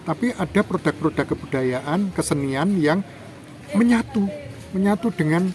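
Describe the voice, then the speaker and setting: A man from Java, an interview guest explaining culture in a lecturing, emphatic way. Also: moderate pace. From Java, Indonesia. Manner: lecturing, emphatic, lively rises in pitch for examples.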